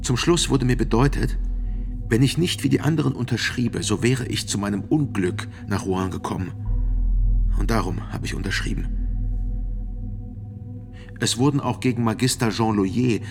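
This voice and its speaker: A man's voice: fairly low pitch, moderate pace, creaky, long pauses, over music. An actor playing a reluctant physician in his forties, testifying formally and carefully in a medieval trial radio play. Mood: guarded, earnest. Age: 40s. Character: middle-aged male physician, guarded, reluctant medieval trial witness.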